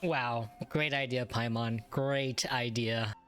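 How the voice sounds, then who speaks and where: A woman's voice: a bass voice, fairly even intonation, slow. A woman giving casual gameplay commentary, reacting informally with sarcastic asides. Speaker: gamer reacting to game. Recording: game music faintly behind. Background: faint game music.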